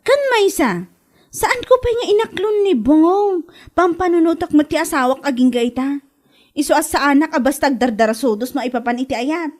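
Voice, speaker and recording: Woman acting an indignant, high-voiced widow. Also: animated, normal speed, darkish tone. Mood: aggrieved. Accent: Ilocano. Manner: theatrical, aggrieved. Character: indignant widow stung by village gossip, hot-tempered. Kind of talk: Ilocano comedy radio drama acting.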